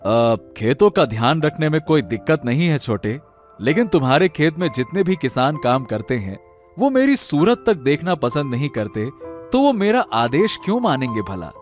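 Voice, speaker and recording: A man plays a sly elder brother in a Hindi cartoon moral tale. He sounds coaxing and falsely fond, in a slightly high, highly expressive voice over soft music. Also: moderate pace. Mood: feigned concern. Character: elder brother feigning affection, wheedling and sly.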